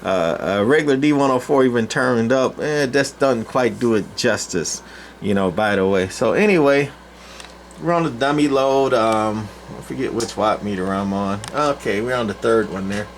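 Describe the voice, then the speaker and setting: Casting: older male, mid-pitched, folksy halting rambler doing an informal gear demo, noisy indoor recording. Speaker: presenter, CB radio collector. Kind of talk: casual unscripted equipment demo.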